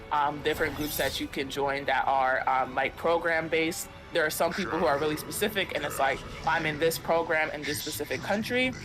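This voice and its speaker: A man hosting a live esports stream, reading and answering viewer comments with lots of ums, over background music. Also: a little high. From United States. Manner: upbeat, thanks viewers by name, frequent ums. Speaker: university esports stream host. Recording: clear music behind voice.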